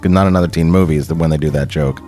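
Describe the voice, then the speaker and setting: A man's voice: extremely low pitch, steady tone, rapid-fire, mellow. A man co-hosting a podcast in casual banter, chiming in with agreement and joking asides. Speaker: podcast co-host. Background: music bed.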